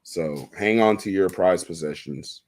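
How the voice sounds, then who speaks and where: A man with a very deep, low voice hosts a laid-back livestream. He chats loosely into a desk mic, jokes around and laughs easily. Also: measured pace. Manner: chatty, laughs often, loose and joking. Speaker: livestream host. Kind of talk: casual livestream chat. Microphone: desk microphone.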